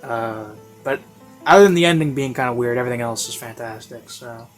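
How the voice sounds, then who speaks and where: A man's voice: mid-range voice, fairly quick. A man giving a casual, unscripted review in a rambling, hesitant way, often interrupting himself. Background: classical instrumental music.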